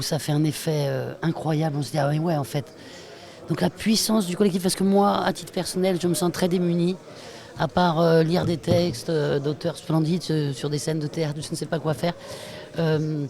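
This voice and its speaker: A woman in casual roundtable talk, halting and self-deprecating, often repeating her words. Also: low-pitched, a little mellow. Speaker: stage actor on a panel. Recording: crowd chatter behind. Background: crowd chatter.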